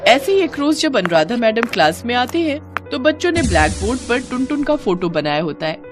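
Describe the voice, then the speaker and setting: A woman narrating a scripted animated moral story as storyteller, in an expressive, dramatized way. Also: a little high, fairly quick, music in the background.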